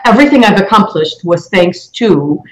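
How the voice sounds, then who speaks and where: A woman in her forties with a Polish accent, giving reflective interview answers in halting, deliberate phrases. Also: medium pitch, animated, rough recording. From Poland. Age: 40s. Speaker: interview guest, mortgage loan originator.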